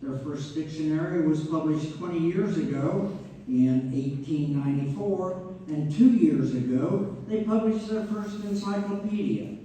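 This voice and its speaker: A man's voice: fairly high pitch, a little slow, warm tone, close to an everyday voice. Man acting a genial stage narrator, echoey. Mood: explanatory. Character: genial narrator guiding the audience through a family history. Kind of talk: scripted stage narration addressed to the audience. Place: community theater hall. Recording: very echoey, distant mic, faint noise.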